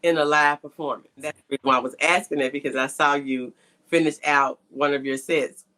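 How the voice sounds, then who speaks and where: A woman's voice: low-pitched, fairly even intonation, a little fast, pushed and bright. A woman hosting a conversational interview, speaking emphatically and repeating key points for stress. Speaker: podcast host and interviewer.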